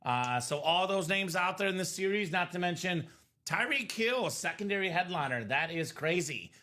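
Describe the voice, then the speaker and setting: A man's voice: high-pitched, animated, normal speed, pushed and bright. A man hosting a live sports card break, giving upbeat, salesy running commentary, his voice a little worn. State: voice a bit worn from singing. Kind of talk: live stream selling chat.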